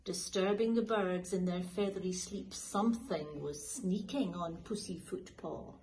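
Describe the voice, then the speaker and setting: A woman teacher reading a children's picture book aloud in a slow, lilting, expressive way. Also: on the low side, a little hiss or hum, low-quality recording. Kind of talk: storybook read-aloud for children.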